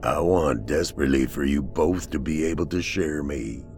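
A man voicing a possessed, hungry, menacing man in a horror story, speaking slowly with eerie blankness. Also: extremely low pitch, soft background music, voice pushed down. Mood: craving. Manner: slow, flat, eerie. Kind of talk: horror audiobook character acting.

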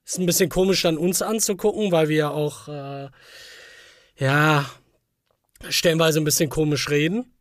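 A man's voice: a little high, steady tone, normal speed, long pauses, dry recording. A man chats as a podcast co-host. He speaks in short, colloquial, joking remarks, recorded dry and close. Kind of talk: casual chat.

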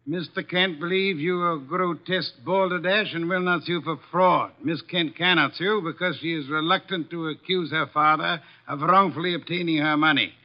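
Man playing imperious male detective for vintage radio drama: pompous, precise, raised pitch, dull old recording. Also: fairly even intonation, moderate pace, hardly any pauses, close to an everyday voice. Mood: stern, commanding. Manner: pompous, formal, precise diction. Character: imperious, irritable, money-minded male private detective. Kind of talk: 1950s radio drama acting. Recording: dull, band-limited 1950s radio recording.

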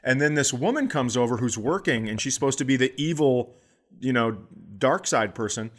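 Podcast co-host, male, comedic storyteller, animated, middle-pitched. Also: moderate pace. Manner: animated storyteller acting out voices. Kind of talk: casual storytelling chat.

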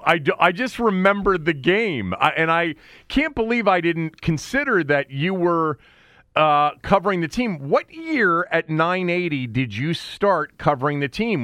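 Male sports talk host, middle-aged, lively swooping pitch, casual interviewer, close dry sound. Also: measured pace, no echo. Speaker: sports radio show host.

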